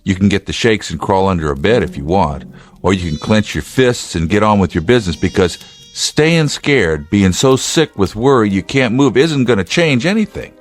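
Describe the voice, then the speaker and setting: An actor playing a steady, dryly wry state trooper in a naturalistic radio drama, speaking in a measured, laconic way. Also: male voice, lowish voice, close to an everyday voice. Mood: firm, earnest. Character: gruff, steady male state trooper, wryly humorous, protective.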